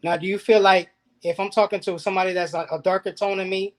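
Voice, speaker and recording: A man's voice: sits high, flat delivery, fast talker. A man as a guest in a casual discussion, speaking earnestly and restarting some phrases.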